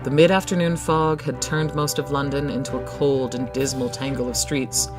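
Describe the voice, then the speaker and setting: A man's voice: mid-range voice, little pitch movement, moderate pace, music in the background. A man narrating scripted fiction in a dramatic storytelling style.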